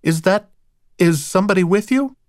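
Man voicing a high, flustered, stammering wife. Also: big pitch swings, no room sound, studio quality. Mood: startled. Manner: halting, stammering, breaks off mid-sentence. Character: flustered, apologetic, scatterbrained young wife and hostess. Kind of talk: audiobook character dialogue.

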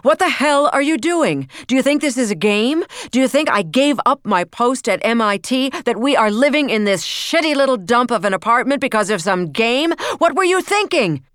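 A woman's voice: on the high side, very animated, moderate pace, hardly any pauses, fairly bright tone, no echo, studio quality, pitched above the performer's own voice. A woman voicing a furious middle-aged father who roars at his child. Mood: roaring. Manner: roaring, explosive.

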